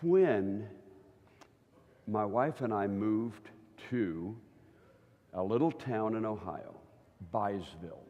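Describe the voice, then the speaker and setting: A man's voice: lowish voice, lively intonation, takes it slowly, pauses often, dark tone. Chatty older man preaching in a hall. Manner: chatty, keeps checking in with listeners. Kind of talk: conversational church teaching. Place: church hall. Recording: long reverb of a church hall.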